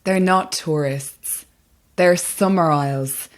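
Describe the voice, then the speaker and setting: A woman's voice: low-pitched, slow. A woman playing a coolly menacing, controlling hostess in a horror audio drama, polite, composed and insistent.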